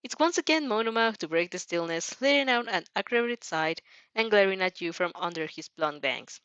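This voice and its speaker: A woman with narrates a fan story with expression. She breaks in with casual reactions of her own. Her pitch is average, and the home recording is dry and a little muffled. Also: animated, brisk, fairly bright tone, clear, resonant tone, dry recording. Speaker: fan fiction reader and reactor.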